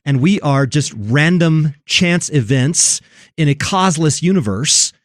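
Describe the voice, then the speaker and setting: Male talk host, mid-pitched with big melodic swings, preachy and emphatic, recorded dry and close. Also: lively intonation, unhurried, no echo. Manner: emphatic, preachy, repeats phrases for effect. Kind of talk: religious commentary on film and culture.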